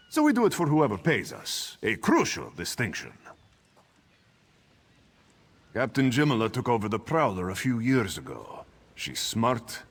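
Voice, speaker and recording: An actor playing a gruff, sardonic privateer sailor in a fantasy video game, salty and theatrical. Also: male voice, deep voice, a bit bright, full character voice. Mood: proud, sly. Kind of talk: scripted video game dialogue.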